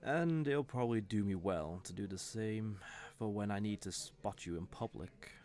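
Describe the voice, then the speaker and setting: A man's voice: on the low side, lightly acted. A man playing a cocky, suave fantasy villain who teases and flirts smugly in an audio roleplay. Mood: flirty, musing. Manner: smug, playful, unhurried teasing with soft chuckles. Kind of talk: scripted audio roleplay addressed to the listener.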